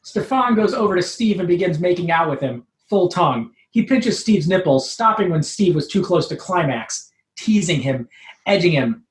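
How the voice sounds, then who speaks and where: A woman's voice: lowish voice, lively intonation, normal speed. Woman narrating a funny story, very up n down delivery, echoey room. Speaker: troupe member reading own comic story. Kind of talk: scripted comic narration, read aloud. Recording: roomy, echoing live room.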